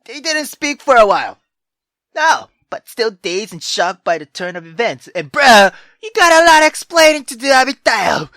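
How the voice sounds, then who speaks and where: Excitable male let's player over music. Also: very high voice, normal speed, dry recording, studio quality. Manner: excitable, profane, slangy. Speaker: let's play host. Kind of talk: gaming commentary with read-aloud narration.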